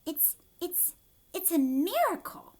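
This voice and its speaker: A woman voicing an amazed, excitable old grandfather in a children's read-aloud, exclaiming with awe. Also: very high voice, slow pace, voice pushed up, full character voice. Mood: awestruck. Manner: awestruck, exclaiming.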